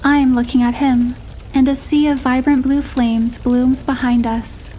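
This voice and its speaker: A woman narrating a romantic first-person story in a measured, expressive storytelling manner. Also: sits high, faint music behind, poor audio. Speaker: narrator and heroine voice.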